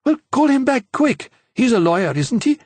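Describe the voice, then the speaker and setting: A man reading an audiobook, voicing a quick, indignant young woman who talks rapidly and emphatically. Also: a little high, animated, slightly rough, no room sound, voice pushed up. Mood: urgent.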